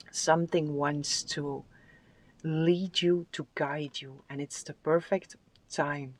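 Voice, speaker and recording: A tarot card reader giving a spiritual reading with affirmations, in a soft, soothing and unhurried voice that sometimes drops to a whisper. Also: mid-range voice, slight background noise, poor audio. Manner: soft, soothing, unhurried delivery with drawn-out phrases.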